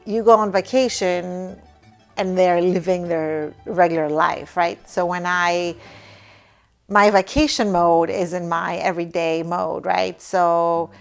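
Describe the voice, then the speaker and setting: A woman's voice: lowish voice, fairly even intonation, takes it slowly, vocal fry, faint music behind. A woman in her thirties, raised in Greece, sitting on a living room sofa and reflecting thoughtfully in a documentary interview, often checking in with "right?". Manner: thoughtful, frequent restarts and "right?" tags.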